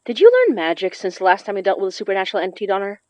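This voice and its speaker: An actress playing a young woman who works magic, tired, wry and protective, with a brisk, matter-of-fact delivery in a naturalistic audio drama. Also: mid-range voice, very animated, warm tone, dry recording, lightly acted. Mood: defensive, weary.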